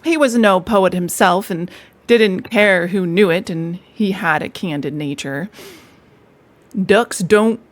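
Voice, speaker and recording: A woman reading a children's classic aloud in a gentle, casual way, soft-spoken and relaxed between passages. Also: on the low side, unhurried. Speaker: storyteller. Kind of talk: cozy read-aloud narration.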